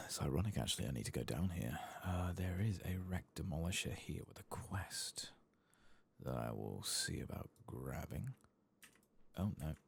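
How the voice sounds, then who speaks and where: A man giving soft-spoken ASMR commentary while gaming, murmuring and drifting into whispers. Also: a bass voice, animated, normal speed, gravelly, husky. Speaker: gaming streamer, ASMR host.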